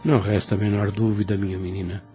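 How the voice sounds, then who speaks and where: A man's voice: on the low side, little pitch movement, fast talker, dark tone, soft background music, natural voice. A Brazilian actor playing a kindly, ailing elderly priest in an audio drama, solemn, fatherly and formal. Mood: fatherly gravity. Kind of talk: Brazilian radio drama acting.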